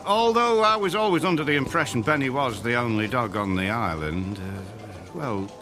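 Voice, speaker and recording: A man's voice: medium pitch, lively intonation, normal speed, music in the background, natural voice. An actor playing a folksy, puzzled island neighbour in his sixties, speaking hesitantly in a radio drama. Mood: puzzled, neighbourly. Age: 60s.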